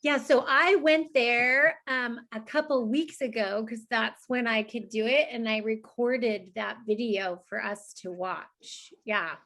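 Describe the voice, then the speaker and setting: A woman's voice: on the high side, fairly even intonation, takes it slowly, hardly any pauses, a bit bright. A woman speaking informally and encouragingly as a teacher, moderating children's questions in a casual, friendly way. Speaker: teacher hosting a student question session.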